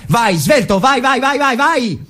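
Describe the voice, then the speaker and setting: An actor playing a weary, brooding lieutenant who narrates from the trenches in an intense, theatrical dramatic monologue that swings from inward murmur to barked orders. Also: male voice, very high-pitched, animated, unhurried, sharp tone, slight background noise, pitched above the performer's own voice, full character voice. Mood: urgent, commanding. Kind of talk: dramatic literary monologue for radio.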